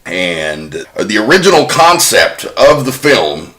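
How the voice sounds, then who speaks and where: A man hosting a casual podcast with a fairly low voice and a bright, forceful tone. He talks in an informative way, laying out fact after fact. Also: very animated, slow. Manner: informative, walks through researched facts. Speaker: podcast host. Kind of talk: casual film-history chat.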